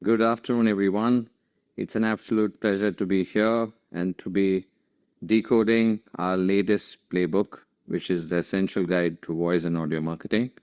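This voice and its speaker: Man, very low pitch, formal measured presentation. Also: steady tone, darkish tone, clean tone, highs cut off. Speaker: session moderator presenting a report. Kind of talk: prepared webinar presentation.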